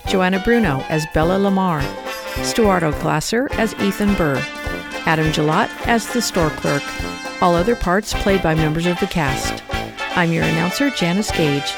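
A woman announcer reading scripted radio drama credits. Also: on the low side. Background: music.

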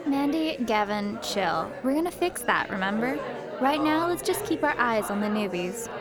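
A scheming college ringleader in a radio play, acted by a woman. Her voice is fairly high, breezy and sly, with an easy habit of bossing her friends. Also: very animated, moderate pace, over a noisy crowd. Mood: sly, confident. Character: confident, scheming female college student ringleader. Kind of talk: audio drama acting.

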